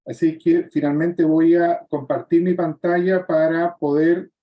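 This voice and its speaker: A man's voice: on the high side, little pitch movement, a little slow, muffled recording. A man, moderating a webinar and giving closing remarks with frequent hesitations. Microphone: video call.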